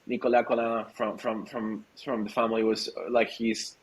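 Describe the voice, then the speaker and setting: A man's voice: on the low side, level tone, normal speed, rough recording. Informal man from Argentina, native Spanish speaker. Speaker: podcast guest, startup growth executive. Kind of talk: casual interview conversation.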